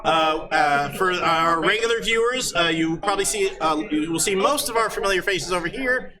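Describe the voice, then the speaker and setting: A man hosting a live game show as game master, speaking off the cuff in a halting, joking way. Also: sits high. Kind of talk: unscripted live stage introduction. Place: convention hall with live audience. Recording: loud crowd, live convention stage.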